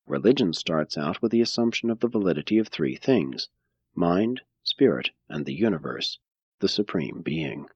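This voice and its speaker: Low-voiced, husky man narrating an audiobook. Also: no echo, muffled recording. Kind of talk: scripted audiobook narration of religious philosophy.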